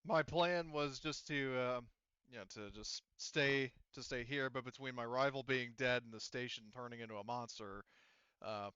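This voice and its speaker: A man speaking as a game master, explaining the story in a halting, conversational way. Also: medium pitch, sharp tone, clean tone, no echo, lo-fi sound. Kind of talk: improvised tabletop roleplay narration.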